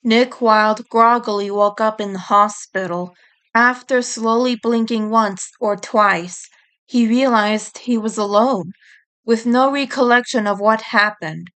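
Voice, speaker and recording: A woman's voice: fairly high pitch, unhurried, a bit bright, clean tone. A woman reading a story aloud as narrator, in a dramatic storytelling style. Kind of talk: dramatic fan fiction narration.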